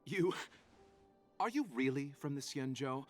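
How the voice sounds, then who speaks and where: An actor voicing a composed, mysterious male merchant in a video game, talking smoothly with soft amused laughs. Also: medium pitch, expressive, measured pace, natural voice. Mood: knowing. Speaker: game character. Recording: game audio with faint music behind.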